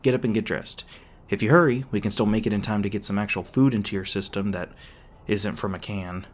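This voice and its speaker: A man performs a gruff, defensive monster hunter in an intimate ASMR roleplay drama. His voice sits fairly low. He talks casually and swears, hesitating awkwardly as his secretly caring side shows through. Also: fairly even intonation, fairly quick, close to an everyday voice.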